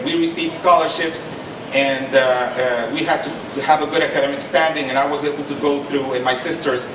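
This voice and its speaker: A middle-aged man from Honduras speaks at a panel in a slightly higher voice, talking earnestly, repeating himself and stopping to check that his listeners follow. He sounds far from the mic in a big echoing lecture hall. A steady noise and low rumble sit behind his voice. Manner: earnest, repeats and restarts, stresses points with "okay?". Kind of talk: panel talk with personal story. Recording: echoey hall, mic from a distance, noisy.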